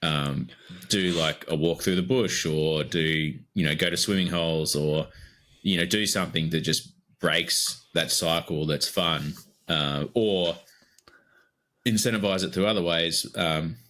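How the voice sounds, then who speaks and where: An Australian man with a low-pitched voice co-hosts a podcast in easygoing chat. His tone is bright and forward, and he rambles a bit with lots of fillers as he talks. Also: a little slow. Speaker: podcast co-host.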